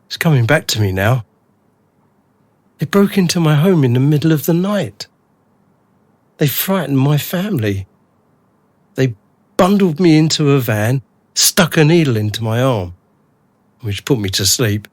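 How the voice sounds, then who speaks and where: An ordinary, anxious family man held captive, played by an actor in a radio drama. He talks bluntly and colloquially, unsettled and bewildered. His voice is mid-pitched, with almost no room sound around it. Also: lively intonation, measured pace, long pauses, no room sound.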